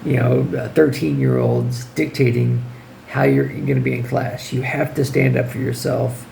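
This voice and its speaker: A hoarse man with a deeply gravelly, fry-heavy voice talks through classroom tips in a loose vlog style. He sounds firm and direct but informal, and his voice sounds strained and worn as it fades. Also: animated, moderate pace, warm tone, slight background noise, low-quality recording. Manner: informal, firm, checks in with "okay?". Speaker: English teacher. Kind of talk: casual advice vlog.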